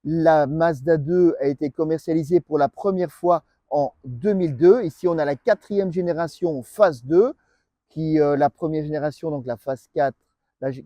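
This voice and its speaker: Mellow-voiced Belgian man presenting a car. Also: fairly high pitch, dry recording. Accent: Belgian French. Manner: familiar, informal, slangy. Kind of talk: casual, chatty car walkaround addressed to the viewer.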